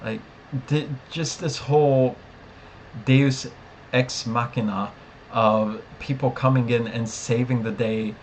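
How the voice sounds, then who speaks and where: A man with an average-pitched voice talks through a review as a casual rant. He rambles and complains with animated disbelief. A light layer of noise sits behind him. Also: takes it slowly, rough recording. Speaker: discussion show host. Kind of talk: casual TV episode review, ranting chat.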